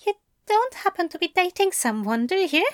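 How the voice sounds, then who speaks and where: A woman's voice: very high-pitched, very animated, moderate pace, dry recording, pitched above the performer's own voice. A woman voicing a prim, nervous, hesitant man in a romantic audio drama, speaking tentatively. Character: prim, nervous, hesitant male character, flustered suitor.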